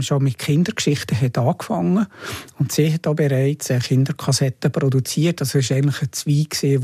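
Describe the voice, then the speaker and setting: A man's voice: mid-range voice, darkish tone, breathy edge, dry recording, studio quality. A man with a Swiss German accent, talking informally as an interview guest and looking back on past work. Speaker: interview guest, former media editor. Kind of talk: informal reminiscing conversation.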